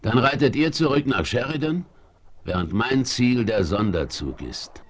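A man's voice: mid-range voice, expressive, a little slow, close to an everyday voice. A man playing a commanding, ironic frontier hero in a German radio play, with an authoritative manner. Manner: authoritative, crisp orders. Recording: faintly noisy 1970s vinyl rip.

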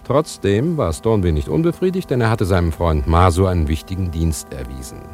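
Suspenseful storyteller, low voice, expressive intonation, radio play, guitar music behind. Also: male voice, brisk. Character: male storyteller, measured and suspenseful. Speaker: narrator. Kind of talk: scripted radio play narration.

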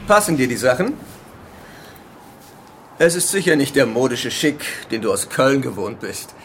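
An actor playing a calm, controlling leader of island survivors in a radio drama, speaking with measured, patronizing authority. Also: male voice, medium pitch, background noise. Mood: smooth, patronizing. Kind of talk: German radio drama acting.

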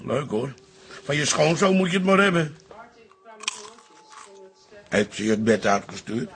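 A man's voice: mid-range voice, very animated, measured pace, a bit bright. An actor playing a nosy, blustering old father-in-law in a radio drama, with a blunt, teasing, colloquial way of talking. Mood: needling, curious. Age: older.

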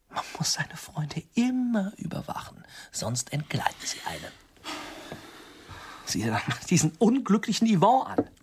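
A man's voice: fairly high pitch, husky. An actor playing a bitter, possessive man in a radio drama, sarcastic and theatrical, moving between muttering and declaiming. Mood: wounded, insistent. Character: bitter, possessive man lecturing his old friends. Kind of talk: German radio drama acting.

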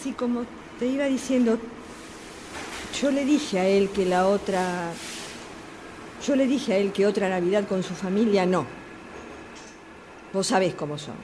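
A woman's voice: medium pitch, a little slow, pauses often, a little mellow, natural voice. An actress playing a chatty, exasperated woman with a Rioplatense Argentine accent, gossiping and complaining in a radio play about a subway phone call. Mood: gossipy, aggrieved. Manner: gossipy, exasperated, repeating herself. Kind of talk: radio theatre monologue acting. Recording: clearly noisy amateur workshop recording.

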